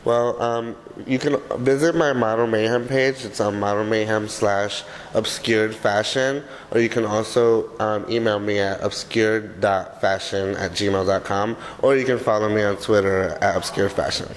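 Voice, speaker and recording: A man's voice: fairly low pitch, fairly even intonation, normal speed, few pauses, in a large hall, a little hiss or hum. A man who is a stylist guest, talking casually in an interview and hesitating with lots of fillers.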